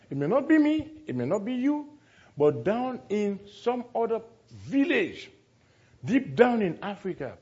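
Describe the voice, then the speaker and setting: A man's voice: medium pitch, warm tone, lo-fi sound. A man preaching a sermon to a congregation, speaking emphatically, repeating phrases and putting rhetorical questions to his listeners.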